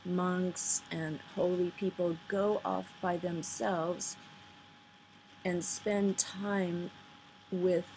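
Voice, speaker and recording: A woman giving an informal, earnest spoken commentary, pausing between phrases and sometimes reading aloud, on a noisy amateur recording. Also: on the low side, level tone, a little mellow. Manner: earnest, halting delivery with pauses between phrases. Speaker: vlogger. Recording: noisy amateur recording, poor sound quality.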